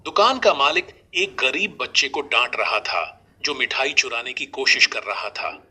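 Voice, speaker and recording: A man narrating a scripted moral story as a storyteller, in a measured delivery. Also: mid-range voice, animated, sharp tone, slightly rough.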